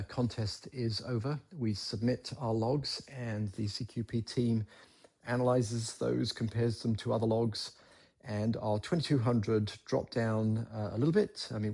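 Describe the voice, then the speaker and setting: A man giving an unscripted, matter-of-fact recap as a ham radio operator, in measured phrases. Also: lowish voice, vocal fry, a little mellow, slightly rough. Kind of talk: unscripted explanatory recap to camera.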